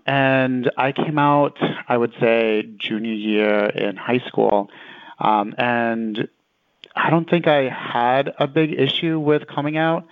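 A man answers interview questions in a conversational but halting manner. His mid-pitched voice has plenty of creak and fry. It comes through a thin, dry, telephone-like connection. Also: dry recording. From El Salvador until nine, then Connecticut, US. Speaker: community center director, interview guest. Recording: narrow phone-like line.

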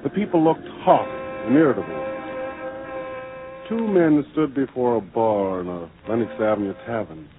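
A man's voice: medium pitch, lively intonation, moderate pace, music in the background, lightly acted. A man narrating a 1940s radio documentary drama in a grave, oratorical manner. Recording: noisy, tinny old radio broadcast.

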